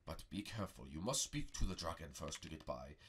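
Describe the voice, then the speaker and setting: Pompous prophetic guide acted by a man, low and raspy, solemn and touchy, for a homemade adventure skit. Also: lively intonation, fairly bright tone, heavily acted. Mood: cautioning. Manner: pompous, slow proclaiming. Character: self-important mystical male guide, solemn and easily offended.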